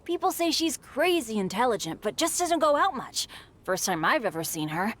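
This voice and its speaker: A woman's voice: fairly high pitch, big pitch swings, moderate pace, fairly bright tone. A woman voicing an earnest, upbeat, good-natured teenage adventurer boy in a video game. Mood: friendly, earnest.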